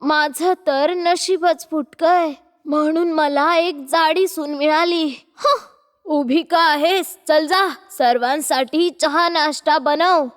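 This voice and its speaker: A woman voicing a bossy, nagging middle-aged mother-in-law in a cartoon story, with a sharp manner. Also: sits high, steady tone, takes it slowly, big-room sound. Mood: scolding.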